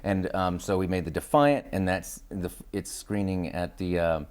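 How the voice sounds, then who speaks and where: A man's voice: low voice, flat delivery, normal speed. A man talking casually as an interview guest, informal and enthusiastic, with loosely strung phrases. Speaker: interview guest, acting studio founder and teacher.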